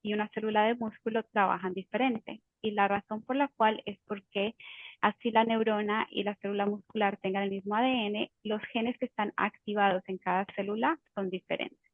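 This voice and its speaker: A woman explains the topic to a webinar audience in a patient, teacherly way, pausing on fillers and restarting phrases. Her voice sits at a middle pitch and comes through a tinny, phone-like video call line with a very dry sound. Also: fairly even intonation, dry recording. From Colombia. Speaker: genetic counselor. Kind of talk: informative webinar talk.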